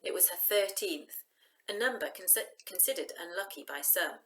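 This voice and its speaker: Bright-voiced woman reading a story aloud. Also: fairly low pitch, lively intonation, normal speed, slight background noise. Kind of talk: expressive storybook narration.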